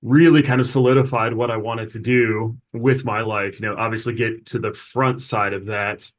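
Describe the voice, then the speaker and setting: A man in his forties, a paramedic guest on a podcast, recounting his career in a relaxed, conversational way with the odd filler. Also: lowish voice, normal speed. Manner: conversational, steady, occasional fillers. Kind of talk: casual interview storytelling.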